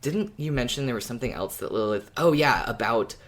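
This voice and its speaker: A woman's voice: rumbling low, expressive, a little fast. A woman co-hosting a podcast, talking casually as a fan about an audio drama.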